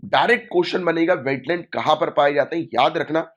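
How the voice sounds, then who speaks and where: A man's voice: a little high, brisk. A man with a sore throat, teaching an exam-prep lesson online and repeating key points with a checking "right?". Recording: dull lo-fi recording.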